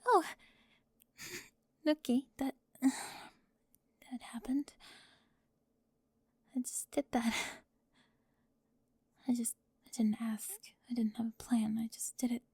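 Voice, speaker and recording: A woman playing a talkative, bossy high school girl who gets easily flustered, in an ASMR roleplay with halting speech and breathy drops toward a whisper. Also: a little mellow, no room sound, heavily acted. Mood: tender, flustered.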